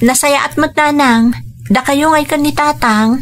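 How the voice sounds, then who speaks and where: A woman's voice: sits high, unhurried. A woman playing a caring, heavy-hearted young adult daughter in a radio drama, earnest and sentimental, with an Ilocano accent, over music. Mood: affectionate. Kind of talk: Filipino radio drama acting.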